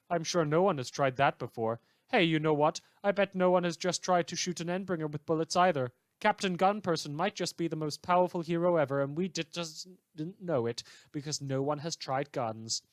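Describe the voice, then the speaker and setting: A man's voice: fairly high pitch, normal speed, few pauses, no echo, lightly acted. A man voicing a sarcastic anonymous citizen in a letter, with dry mock congratulation.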